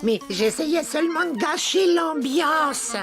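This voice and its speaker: Cartoon goblin spoilsport: sneaky and whiny, wildly varied pitch, unhurried, over loud music. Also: female voice, lively intonation, exaggerated voice. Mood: scheming. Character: mischievous grumbling goblin trickster, bungling spoilsport. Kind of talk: animated children's cartoon dubbing.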